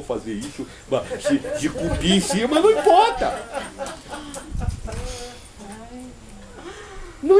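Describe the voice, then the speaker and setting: High-pitched, animated Brazilian man teaching emphatically. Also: slight background noise, low-quality recording. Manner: emphatic, repeats phrases, uses rhetorical questions. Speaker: spiritual teacher leading a group discussion. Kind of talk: informal spiritual talk answering questions.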